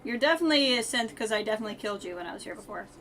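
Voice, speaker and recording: A woman's voice: a little high, lively intonation, rapid-fire, fairly bright tone. A woman doing casual live-stream game commentary, chatting offhand and muttering asides to herself. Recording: keyboard clatter, faint noise.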